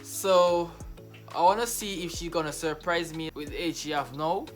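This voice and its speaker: A man's voice: a little high, fairly even intonation, normal speed, faint music behind. A man with a Jamaican accent hosting a casual reaction video, emphatic and exclamatory, often repeating his phrases.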